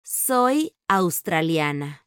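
A woman reading model sentences for learners with slow, careful enunciation. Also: sits low, sing-song, a bit bright, smooth, no echo, clean studio sound. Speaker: native speaker model in a language course.

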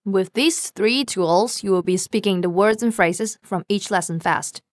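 Female co-host with a Cantonese accent, smooth resonant voice, dry studio sound, careful slow model phrases. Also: mid-range voice, no room sound. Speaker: language lesson co-host. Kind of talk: scripted language lesson.

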